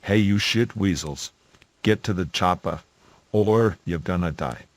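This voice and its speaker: A man's voice: deep voice, measured pace, no echo, voice pushed down. A man playing a tough, commanding action-movie hero through an AI voice changer. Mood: commanding, urgent.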